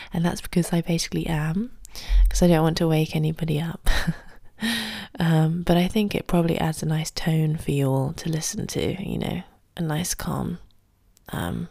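A woman hosting a solo podcast, talking calmly and quietly, often close to a whisper, recorded at home early in the morning. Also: low-pitched, moderate pace, vocal fry, no room sound. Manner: soft, calm and quiet, often near a whisper. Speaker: podcast host and ballet teacher. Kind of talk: solo podcast advice talk.

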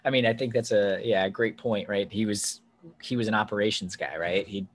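A man with a fairly low voice hosts a casual interview. He sounds agreeable and conversational, building his points loosely and checking in with his guest. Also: steady tone, a little fast. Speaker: interviewer, host.

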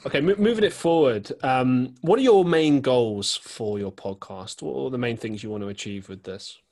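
A man's voice: medium pitch, normal speed, darkish tone. A man hosting a podcast, chatting casually with some hesitant fillers. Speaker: podcast host. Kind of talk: casual interview chat.

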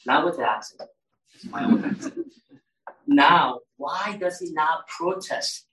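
A man's voice: fairly high pitch, fairly bright tone. A man preaching a sermon in a conversational way, asking his congregation questions as he goes. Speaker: pastor. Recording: roomy echo, mic at a distance.

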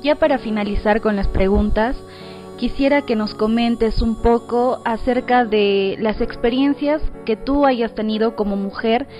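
A woman's voice: fairly high pitch, unhurried, a little mellow, soft background music. A woman asking conversational questions as a podcast interviewer.

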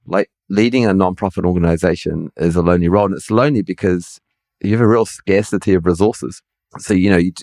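A man's voice: low-pitched, a little mellow, dry recording, clean studio sound. A middle-aged man with a somewhat nasal voice, hosting a podcast in casual conversation, hesitant and self-deprecating with many restarts. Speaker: podcast host.

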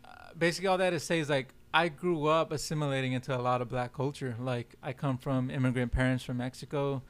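A man's voice: medium pitch, steady tone, fast talker, clear, resonant tone. A young man whose first language is Spanish, a film director guesting on a podcast and talking casually in loose, slangy speech full of "like" and "yo.". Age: young adult. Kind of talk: casual chat.

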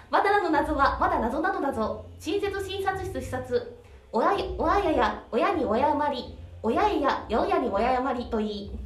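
A woman reciting tongue twisters and chatting casually as a voice-acting show regular. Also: high-pitched. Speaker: aspiring voice actor and show regular. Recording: echoey room, distant microphone.

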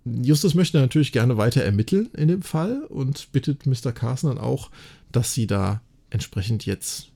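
A man with a mid-pitched, mellow voice and a gravelly creak in it. He talks as a podcast co-host in a casual recap, chatty and enthusiastic, often checking for agreement with short tag questions. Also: animated, normal speed. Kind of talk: casual episode recap and discussion.

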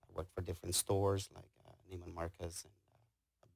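A middle-aged man with a Guatemalan accent, talking casually and haltingly as an interview guest, telling stories about himself. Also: low voice, flat delivery, vocal fry, darkish tone. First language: Spanish. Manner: halting, hesitant delivery. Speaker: interview guest, tailor and business owner. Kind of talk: casual conversational storytelling.

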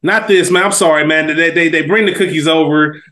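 A man's voice: on the high side, steady tone, a little fast, pushed and bright. A man co-hosting a TV review podcast in casual, conversational, slangy talk. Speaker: podcast co-host.

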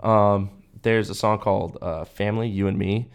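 A young American man with a fairly low voice talks casually as a podcast co-host. His manner is slangy, laid-back and keen, like a relaxed chat between buddies. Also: moderate pace, smooth.

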